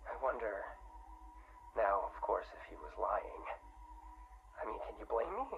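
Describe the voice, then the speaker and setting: A man's voice: on the low side, sing-song, measured pace, vocal fry, exaggerated voice. A guilt-ridden middle-aged scientist rambles through a confessional game log. He is jittery, tipsy and apologetic, tripping over words on a tinny recording with faint hiss. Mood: uneasy, regretful. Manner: nervous, stammering, apologetic, rambling.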